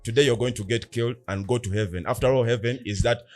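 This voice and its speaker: A man's voice: medium pitch, fast talker, a little mellow, soft background music. A man in casual philosophical podcast talk, explaining earnestly and at length with rhetorical questions. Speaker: podcast co-host.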